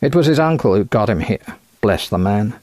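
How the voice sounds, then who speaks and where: A man's voice: lowish voice, lively intonation, normal speed, darkish tone, dry recording, close to an everyday voice. A man voicing an eager, chatty American physician with a quacking voice and folksy, clipped phrases in an audiobook. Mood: brisk, earnest. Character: thin, eager American physician running a sanitarium.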